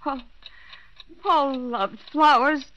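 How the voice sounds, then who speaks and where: Fragile housewife in shock, high faltering voice, vintage radio drama, dull recording. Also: female voice, extremely slow, pushed and bright, soft background music, exaggerated voice. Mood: shaken. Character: shaken, grieving housewife answering police. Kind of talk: 1940s radio drama acting.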